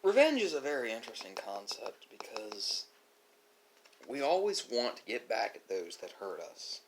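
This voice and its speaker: A man giving a reflective, philosophical monologue as an online host, speaking haltingly with drawn-out pauses. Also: mid-range voice, slight background noise, rough recording. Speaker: host of an ASMR mukbang channel.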